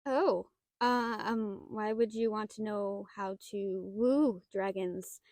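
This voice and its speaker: A woman playing a shy, nerdy young half-dragon librarian in an audio roleplay, flustered and halting, bookish and given to theatrical indignation. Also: mid-range voice, fairly even intonation, a little mellow, dry recording, studio quality. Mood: bashful, flustered. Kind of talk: audio roleplay acting, addressed to the listener.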